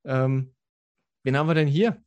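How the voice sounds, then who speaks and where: A man's voice: mid-range voice, unhurried, a little mellow, muffled recording. Twenties male interviewer, hesitant questions full of fillers. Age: 20s. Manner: hesitant, many fillers when asking questions. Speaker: podcast co-host and interviewer.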